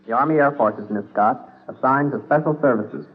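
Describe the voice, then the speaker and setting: A man's voice: medium pitch, moderate pace, breathy edge. A young American man, polite and earnest, giving brief replies as a guest on 1940s radio. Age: young adult. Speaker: aspiring announcer, radio show guest. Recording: very noisy narrow-band 1940s radio recording.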